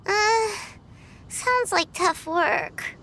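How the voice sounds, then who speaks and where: A woman voicing a small, childlike floating companion in a video game, curious and blunt, with a squeaky, questioning delivery. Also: lively intonation, extremely slow, pushed and bright, exaggerated voice. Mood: puzzled. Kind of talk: scripted video game dialogue.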